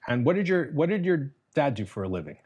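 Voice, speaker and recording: A man hosting an interview, introducing his guest deliberately and asking friendly questions. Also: on the high side, very animated, darkish tone, husky. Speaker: interview host.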